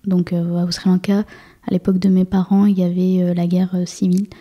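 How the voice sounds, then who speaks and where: Young woman in her 20s, interview guest; monotone, hesitant, restarts a lot. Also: lowish voice, a little fast. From France. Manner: hesitant, many fillers and restarts. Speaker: guest, municipal councillor. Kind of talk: casual interview chat.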